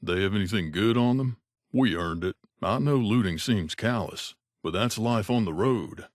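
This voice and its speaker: Video game companion: devoted wasteland gunman, lowish voice, wry, familiar delivery, very dry close studio sound. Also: no room sound. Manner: wry, casual, addresses boss familiarly. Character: loyal wasteland gunslinger companion man, wry, devoted to his mother.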